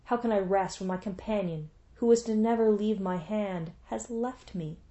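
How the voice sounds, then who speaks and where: A grieving young warrior king who rages and pleads with force, voiced by a woman reading the tale. The voice keeps a middling pitch and a storybook feel. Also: normal speed, mellow, highs cut off, close to an everyday voice.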